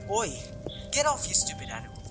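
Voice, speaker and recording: A woman's voice: a little high, big pitch swings, a little fast, over music. A woman playing a blunt, grumpy teenage schoolboy with an indignant manner in an audio drama. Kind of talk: fan audio drama acting.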